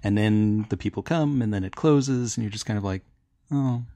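A man's voice: on the low side, normal speed, mellow, no room sound. A man talking casually as a panelist in a group discussion. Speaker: podcast panelist.

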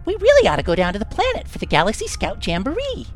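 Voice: mid-range voice, huge pitch swings, quick pace. An actor playing an eager, wholesome but slyly cheeky boy scout in a retro sci-fi radio adventure, with a gee-whiz earnest way of talking. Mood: chirpy. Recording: music behind the voice.

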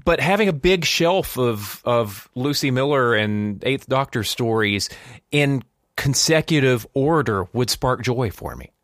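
A man's voice: mid-range voice, animated, a little slow, a bit bright, no room sound, clean studio sound. A man hosting a podcast in a conversational style. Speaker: podcast host.

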